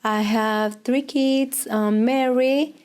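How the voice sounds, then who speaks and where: A woman's voice: sits high, steady tone, slow pace, a little mellow, clear, resonant tone. A woman in her thirties from Colombia with a Colombian Spanish accent, speaking English as a second language in a casual interview, hesitant with many fillers and laughs. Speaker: interviewee, preschool Spanish teacher.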